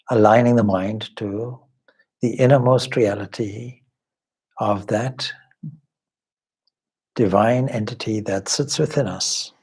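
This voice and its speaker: Slow, husky-voiced man guiding meditation. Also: medium pitch, darkish tone. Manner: measured, deliberate, with long pauses between short phrases; formal, contemplative wording. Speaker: meditation teacher, swami. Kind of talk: guided meditation, slow spoken instruction.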